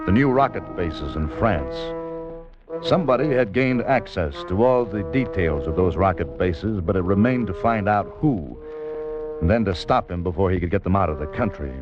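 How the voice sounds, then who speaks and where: An actor with an American accent playing a wry freelance correspondent turned agent, in a 1950s radio drama. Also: male voice, sits low, lively intonation, normal speed. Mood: measured, tense. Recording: muffled old radio recording, music behind.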